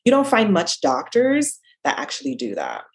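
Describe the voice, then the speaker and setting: A woman's voice: medium pitch, wildly swooping pitch, normal speed, gravelly, slightly rough. A woman from Jamaica with a slight Jamaican accent, a dietitian talking casually as a podcast guest. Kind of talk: casual interview talk.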